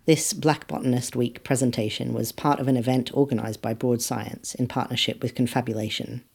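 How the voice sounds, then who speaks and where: A man hosting a podcast, reading a friendly, measured introduction to the next piece. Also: medium pitch, steady tone, gravelly, darkish tone, slightly rough. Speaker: podcast host. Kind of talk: scripted introduction.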